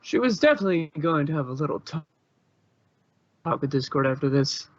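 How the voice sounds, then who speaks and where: A man's voice: a little high, lively intonation, a little fast, muffled recording. A man narrating fan fiction in an informal amateur style, occasionally stumbling over words.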